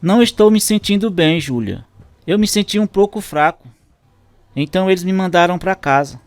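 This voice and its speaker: An actor playing a loving, earnest husband in a radio drama. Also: male voice, sits high, expressive, moderate pace, no echo. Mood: weary. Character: Eduardo, loving, worried husband.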